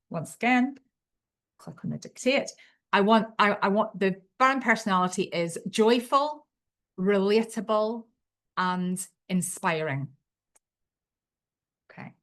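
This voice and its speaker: A woman with a Belfast accent giving a live online tutorial, talking in an enthusiastic, chatty and unpolished way over a computer microphone. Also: mid-range voice. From Northern Ireland. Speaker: webinar presenter.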